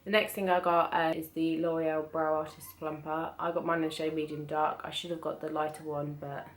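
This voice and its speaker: A British woman with a croaky voice from being ill, chatting casually through a beauty haul with lots of hesitant restarts. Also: deep voice, little pitch movement, fairly quick. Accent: British English. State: croaky from illness. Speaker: beauty vlogger. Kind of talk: casual product haul chat.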